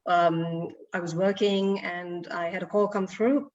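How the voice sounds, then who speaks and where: A woman's voice: fairly low pitch, unhurried. Sri Lankan woman telling a story. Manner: animated storytelling with frequent laughs. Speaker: guest speaker. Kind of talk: storytelling in an online talk. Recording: distant, dull lo-fi audio.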